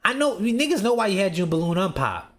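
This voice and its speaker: A man giving loud, mocking, slangy running commentary as he reacts to a dating show. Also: high-pitched, sing-song, quick pace, slight background noise. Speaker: reaction video host.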